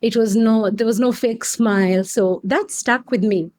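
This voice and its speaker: A young adult woman from Kenya telling a podcast host about her path into coffee, speaking slowly with fillers and restarts. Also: fairly high pitch, darkish tone. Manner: unhurried, frequent restarts and fillers. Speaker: guest, coffee customer experience manager. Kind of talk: casual interview answers.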